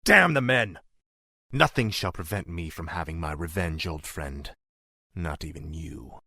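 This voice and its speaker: An actor playing an obsessed, vengeful young warrior prince in a fantasy game, speaking with theatrical, deliberate intensity. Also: male voice, deep voice, lively intonation, vocal fry, sharp tone, no room sound, clean studio sound, full character voice. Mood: furious. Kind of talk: fantasy video game acting.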